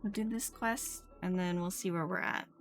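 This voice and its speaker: A woman's voice: fairly low pitch, steady tone, normal speed, soft background music. A woman streaming a game, commenting and reading dialogue aloud.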